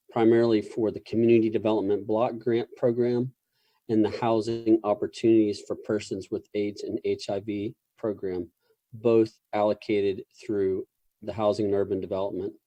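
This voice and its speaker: A man giving a formal government presentation as a public official, in measured, bureaucratic and slightly hesitant phrasing. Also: lowish voice, warm tone, dry recording, poor audio.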